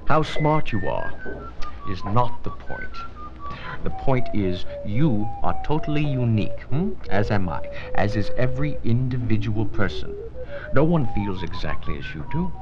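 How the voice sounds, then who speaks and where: An actor playing the kindly, thoughtful ghost of an old statesman in an educational film, measured and gently instructive. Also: lowish voice, wildly swooping pitch. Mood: patient, encouraging. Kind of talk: 1980s educational film acting. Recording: dull 1980s 16mm film soundtrack, music behind.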